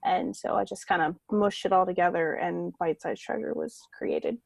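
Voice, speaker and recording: A woman's voice: on the low side, normal speed, creaky, a little mellow, no echo. A woman guest on a podcast, an artist, chatting informally and with some self-deprecation about herself. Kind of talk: casual interview chat.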